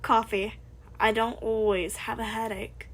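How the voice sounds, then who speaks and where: A woman voicing a tired, grumpy older man with a flat, weary delivery. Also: fairly high pitch, measured pace, husky.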